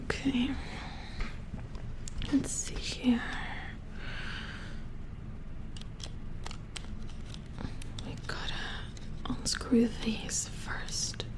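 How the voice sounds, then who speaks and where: Woman acting as female robot engineer, ASMR roleplay, slow hushed whisper, focused, mildly worried. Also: a little high, little pitch movement. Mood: focused, concerned. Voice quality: soft, breathy whisper.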